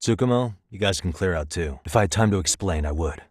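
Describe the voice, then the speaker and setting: An actor playing a calm, wry private detective in his thirties in a video game, speaking in a measured, conversational way. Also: sits low, no room sound, clean studio sound. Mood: polite, insistent. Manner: measured, conversational, lightly sardonic. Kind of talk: naturalistic English video game voice acting.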